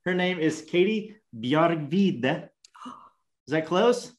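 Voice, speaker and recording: A man's voice: on the high side, animated, slow. A man from Kentucky with a Kentucky accent, co-hosting a podcast in casual chat, friendly, with many restarts. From Kentucky, USA. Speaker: podcast co-host.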